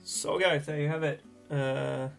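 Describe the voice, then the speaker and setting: A man in his thirties with a Swedish accent, a Swedish native speaker explaining fly tying in a relaxed, hesitant way from a small home workshop. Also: fairly high pitch, unhurried, vocal fry, faint music behind. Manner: relaxed, hesitant, thinking aloud. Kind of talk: casual tutorial narration.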